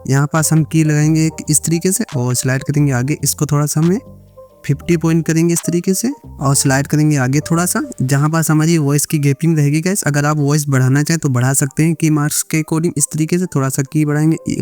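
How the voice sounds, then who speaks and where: A man's voice: on the high side, steady tone, brisk, a little mellow, soft background music. An Indian man narrating a step-by-step editing tutorial, mixing English words into repetitive instructions. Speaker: video editing tutorial creator.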